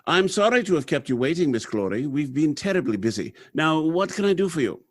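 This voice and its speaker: A man's voice: fairly high pitch, sing-song, dry recording, clean studio sound. A man acting a courteous, businesslike factory general manager in a 1930s-style radio drama, speaking formally and politely. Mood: courteous, attentive. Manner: formal, brisk dictation and polite address.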